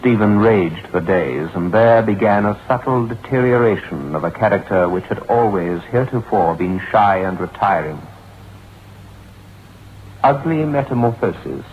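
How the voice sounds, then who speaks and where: An actor playing a grave lawyer recounting a grim account in a formal, portentous way, in old-time radio drama style. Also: male voice, low voice. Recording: dull, hissy vintage radio recording.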